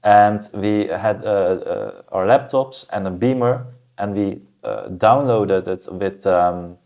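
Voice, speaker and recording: Low-voiced man telling his story. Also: slow pace. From Germany. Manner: thoughtful, steady storyteller with many fillers. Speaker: guest. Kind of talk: casual interview talk.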